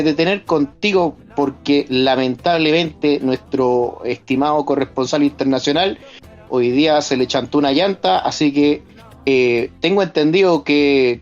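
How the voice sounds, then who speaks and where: A man's voice: on the high side, highs cut off. A man with a Chilean accent hosting a podcast, introducing his guests in an upbeat, ironic way. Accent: Chilean Spanish. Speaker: podcast host.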